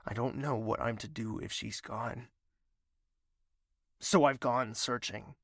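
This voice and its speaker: A man's voice: medium pitch, fairly even intonation, slow pace, fairly bright tone, no room sound, clean studio sound. A man playing a lovesick, stubborn young prince in an audio drama, earnest, formal and melodramatic. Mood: puzzled, searching.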